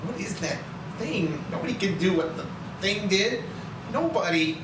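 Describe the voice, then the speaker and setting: A woman's voice: medium pitch, animated, unhurried, lightly acted. A woman playing a shaken, foul-mouthed young girl with some defiance in a screenplay reading. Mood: dazed, horrified. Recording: very echoey, distant, clear noise.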